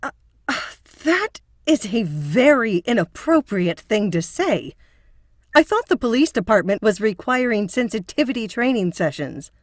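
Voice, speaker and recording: A woman's voice: fairly high pitch, very animated, a little slow, dry recording, clean studio sound. A woman playing an earnest, principled psychotherapist with a prim, concerned manner in an audio drama. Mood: indignant.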